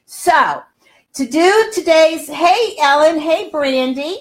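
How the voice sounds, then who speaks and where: Older female cooking host, on the high side, chatty and exclamatory, talking straight to viewers in a reverberant home kitchen. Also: animated, slow. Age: older, around 60s. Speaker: health coach and cooking show host. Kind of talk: casual live cooking demonstration. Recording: roomy, echoey home kitchen sound.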